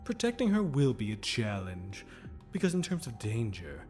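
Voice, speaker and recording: Casting: a teasing demon villain, slow and theatrical, swooping between silky flirtation and menace, over music. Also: male voice, on the low side, huge pitch swings, darkish tone. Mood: smug warning. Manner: languid, teasing, drawn-out phrasing with pet names. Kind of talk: audio drama acting.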